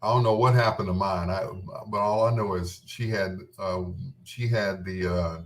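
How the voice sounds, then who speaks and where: A man's voice: sits low, steady tone, normal speed, far from the mic, rough recording. A middle-aged man reminiscing casually in a rambling, candid and playful way, as the host of his own show. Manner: informal, candid, playful storytelling with self-quoting.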